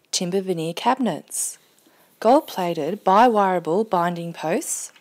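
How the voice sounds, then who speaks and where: Female narrator for a product promo, scripted read at an ordinary mid-range pitch. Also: darkish tone.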